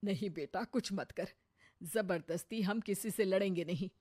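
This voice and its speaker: A woman voicing a respectable, cautious older mother-in-law who gently admonishes in a cartoon moral story. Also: medium pitch, normal speed, dry recording, clean studio sound, voice pushed down, natural voice. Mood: cautioning. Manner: gently admonishing, resigned counsel.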